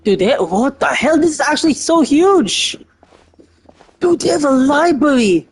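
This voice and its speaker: A man giving casual playthrough commentary as a gaming streamer, reacting in halting asides. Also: very high voice, expressive.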